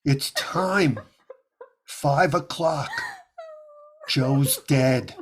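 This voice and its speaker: An actor playing an obsessed young ex-soldier sniper in 1930s radio drama style, slangy, agitated and childishly insistent. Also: male voice, medium pitch, drawn-out words. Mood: desperate, defiant.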